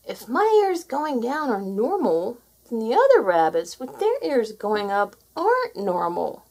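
A woman's voice: a little high, huge pitch swings, measured pace, a little mellow, full character voice. A woman voicing a sheepish, puzzled young male rabbit in a children's storybook. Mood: pondering. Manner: sheepish, musing. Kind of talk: children's storybook read aloud.

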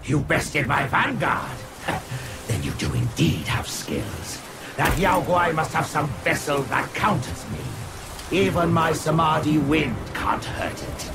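An actor playing a proud, commanding rat king in a video game, with formal, deliberate, theatrical delivery. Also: on the high side, sing-song, background noise, full character voice. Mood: grudgingly impressed. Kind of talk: video game character acting. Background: music.

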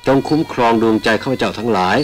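A man's voice: medium pitch, fast talker. A man reading scripted, ceremonial documentary narration on a vintage film soundtrack. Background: music.

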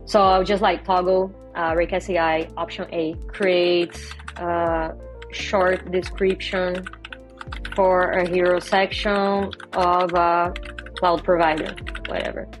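A young woman speaks in a fairly low voice with a Brazilian accent, her first language being Portuguese. She explains her work casually as a guest, with music playing behind her. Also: flat delivery, slow. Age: young adult. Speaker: interview guest, software designer. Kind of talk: casual explanatory interview talk. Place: small home bedroom, indoors.

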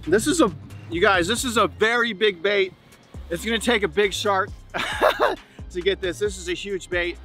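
A man with a notably high voice hosts a casual fishing vlog. He talks straight to viewers outdoors, emphatic and often repeating his phrases. Some music can sit behind him. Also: unhurried, bright, forceful tone. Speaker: fishing channel host. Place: outdoors on an open beach and in a kayak at sea.

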